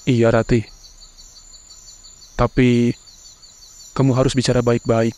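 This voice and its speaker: A man voicing a calm, cautious young husband in a horror cartoon, speaking gently to settle his wife. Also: mid-range voice, takes it slowly, natural voice. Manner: gentle, calming.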